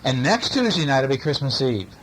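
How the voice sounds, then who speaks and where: A middle-aged man, a father chatting and reading casually to a child in a gentle, playful way, often asking little questions. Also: mid-range voice, big pitch swings, fairly quick. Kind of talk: casual chat and bedtime story reading to a child. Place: at home, lying down beside a child. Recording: noisy amateur home recording.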